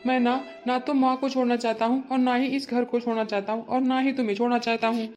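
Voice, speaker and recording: Woman doing a gentle young husband, soft-spoken, reassuring, lower voice. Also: level tone, quick pace, soft background music, natural voice.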